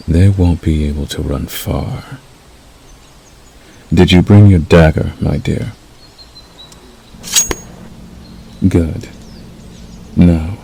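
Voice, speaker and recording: A man voicing a possessive, menacing male werewolf lover in an ASMR roleplay, slow and deliberate, coaxing and threatening with a gravelly growl. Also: a bass voice, heavily acted. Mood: dark amusement. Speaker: audio roleplay voice actor. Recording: faint background noise.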